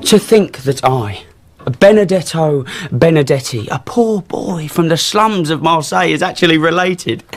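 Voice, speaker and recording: An actor playing a gushing, pretentious young upstart in a radio drama, with florid, theatrical phrasing. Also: male voice, a little high, lively intonation, moderate pace, slight background noise. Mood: delighted, preening. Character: thin young male upstart, gushing and affected.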